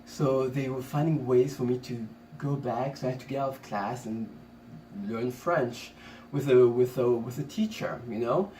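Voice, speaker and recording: A man's voice: medium pitch, normal speed, mellow. Rambling man from Congo, roomy sound. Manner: rambling, many restarts and fillers. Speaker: podcast co-host. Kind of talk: casual conversation. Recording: roomy, distant mic, faint noise.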